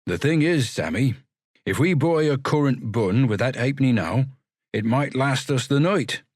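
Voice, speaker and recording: A man's voice: mid-range voice, lively intonation, normal speed, darkish tone, dry recording, studio quality, voice pushed up, natural voice. A man voicing a practical, streetwise young male pauper who talks plainly. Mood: scheming.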